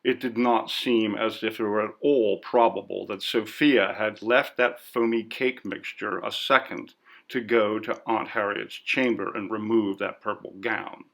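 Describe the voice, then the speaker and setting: Creaky-voiced male story narrator. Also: fairly low pitch, steady tone, a little slow, fairly bright tone. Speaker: audiobook narrator.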